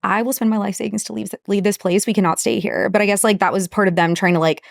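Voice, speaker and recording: A young woman from Corpus Christi, Texas, co-hosting a podcast in a bubbly, slangy, animated way. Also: medium pitch, fast talker, gravelly, no room sound, clean studio sound. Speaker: podcast co-host. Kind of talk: casual podcast chat.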